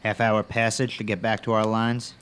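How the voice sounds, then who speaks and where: A man playing a blunt, weary older army general in a fantasy audio drama, with a gruff, commanding manner. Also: fairly low pitch, completely flat delivery, moderate pace, a bit bright, slight background noise, close to an everyday voice. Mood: dry, needling.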